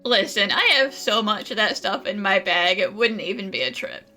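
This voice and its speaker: A woman's voice: mid-range voice, normal speed, music in the background. A woman gamer doing casual, playful let's-play commentary, replying wryly to the game's characters. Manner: playful, wry replies to game characters. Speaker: gaming streamer playing and reacting.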